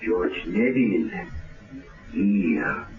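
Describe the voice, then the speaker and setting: An actor playing a menacing assassin calling from the shadows in a 1970s radio drama with tinny sound. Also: male voice, low-pitched, animated, very slow, over music, heavily acted. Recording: tinny old radio recording.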